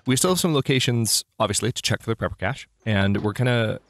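A man's voice: fairly low pitch, animated, fast. A man casually narrating his own gameplay as a let's play host, thinking aloud with restarts, sounding slightly congested.

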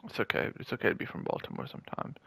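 A man's voice: very fast, fairly bright tone. Joking young man trash-talking a friend. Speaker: gamer. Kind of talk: casual gaming chat with a friend.

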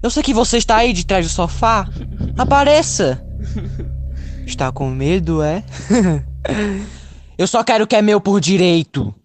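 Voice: medium pitch, expressive, a little slow, pauses often, a little hiss or hum, natural voice. An actor playing a sneering, vengeful ex-husband who taunts and threatens in a radio soap opera. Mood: menacing, taunting. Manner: taunting, mocking, shouting threats. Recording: music behind the voice.

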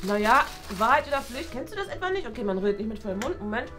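A woman's voice: a little high, big pitch swings, quick pace, a bit bright, faint music behind. A woman playing an earnest, chatty, exasperated know-it-all schoolgirl in a kids' comedy sketch. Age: young adult. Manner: fussy, talkative. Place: small classroom.

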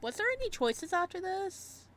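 A woman giving casual let's-play commentary and reading game text aloud, with an excitable, reactive manner. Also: very high voice, normal speed, slight background noise. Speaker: gaming streamer.

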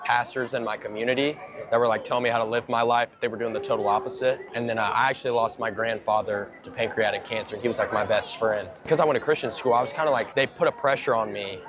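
Fast-talking young American man, street preacher. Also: medium pitch, fairly even intonation. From Georgia, United States. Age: early twenties. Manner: earnest, informal. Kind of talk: casual street evangelism and spontaneous prayer. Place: outdoors on a crowded town street. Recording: muffled outdoor clip with busy crowd. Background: crowd chatter.

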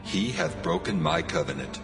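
Commanding male deity, low gravelly voice, solemn, declamatory, clear musical backing, scripture drama. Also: moderate pace, music in the background.

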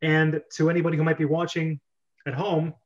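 A man's voice: fairly high pitch, little pitch movement, a little fast, dull audio. A man hosting a casual group chat over a video call. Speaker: podcast host.

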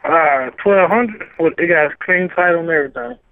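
Tinny phone-line man, gravelly and blunt. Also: on the high side, expressive, moderate pace. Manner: terse, blunt, slangy. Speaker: car seller answering a call. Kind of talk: casual phone conversation. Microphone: phone call.

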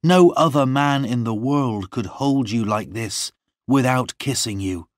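A man's voice: mid-range voice, measured pace, dry recording, studio quality, pitched above the performer's own voice, lightly acted. A man voicing a devoted, humble young farmer in love, with a heartfelt and earnest manner, in a storybook reading. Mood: teasing restraint.

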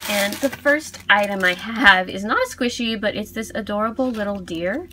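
A woman giving a casual unboxing review as a video host, cooing softly over cute things. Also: mid-range voice, normal speed, a little hiss or hum, poor audio. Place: indoors at a tabletop.

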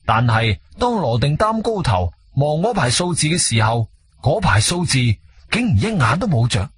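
A man's voice: fairly high pitch, very animated, moderate pace, slight background noise. A man with a Guangzhou Cantonese accent narrating a radio drama as a conversational storyteller, dropping in interjections. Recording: music bed behind studio voice.

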